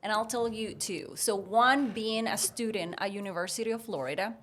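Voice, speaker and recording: A woman's voice: medium pitch, normal speed, a little hiss or hum. Puerto rican lady vet w spanish accent, native spanish speaker, chatting on a podcast, acts out dialogue in her stories. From Puerto Rico. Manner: animated retelling with acted-out dialogue. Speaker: podcast guest, veterinarian. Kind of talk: conversational storytelling.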